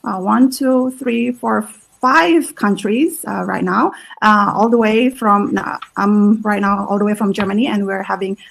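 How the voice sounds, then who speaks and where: Female host, gravelly voice, halting delivery with lots of fillers, video call. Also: medium pitch, rough recording. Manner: halting, many fillers and restarts. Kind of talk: live online panel chat.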